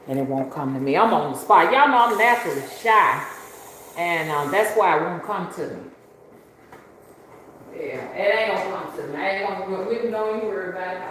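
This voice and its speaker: Woman, soft voice gone hoarse, slow rambling chat, very echoey room. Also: fairly low pitch. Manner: rambling, joking, addresses viewers as y'all. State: hoarse and congested. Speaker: rootworker and spiritual reader hosting her channel. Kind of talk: casual chatty livestream talk. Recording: very echoey room, faint background hiss.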